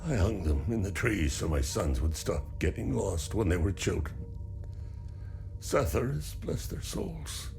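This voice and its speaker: Grieving old farmer, low gravelly voice, weary, stoic, embittered, measured, video game dialogue. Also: male voice, sing-song, darkish tone, soft background music, exaggerated voice. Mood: helpful, subdued. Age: older. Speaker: non-player character.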